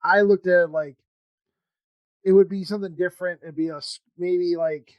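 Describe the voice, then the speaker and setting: Halting, higher-pitched man chatting casually. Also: steady tone, no echo, highs cut off. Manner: halting, rambling, thinking aloud. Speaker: podcast host interviewing a guest. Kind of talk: casual chat.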